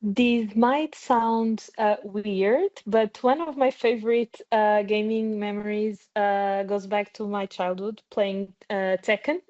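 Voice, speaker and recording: A woman's voice: medium pitch, fairly even intonation, slow pace, darkish tone. A woman whose native language is Portuguese, answering as a podcast guest over a remote video call, picking her words with some hesitation. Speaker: podcast guest, games industry business development manager. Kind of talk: interview answers. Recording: dull, lo-fi online call audio.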